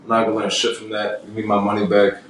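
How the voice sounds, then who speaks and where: Blunt low-voiced young man, far from mic. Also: monotone, fast, very noisy. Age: 20s. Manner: rambling, blunt, swears freely. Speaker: podcast guest. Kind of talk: casual podcast chat. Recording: very echoey room, mic far off.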